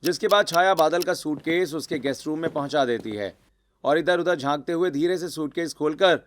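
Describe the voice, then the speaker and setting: Higher-pitched man narrating a story. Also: normal speed, slight background noise.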